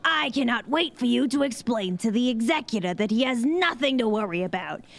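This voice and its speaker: A woman playing a wry, sharp-tongued bartender who spins a tale with teasing sarcasm in an audio drama. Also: on the high side, expressive, brisk, creaky. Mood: sarcastic. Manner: sardonic, teasing storyteller.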